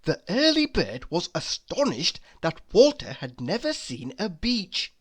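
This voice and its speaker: Female storybook narrator, slightly low pitch, hugely varied intonation, deliberate and dramatic for young listeners. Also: huge pitch swings, husky. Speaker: storybook reader. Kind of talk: expressive children's read-aloud narration.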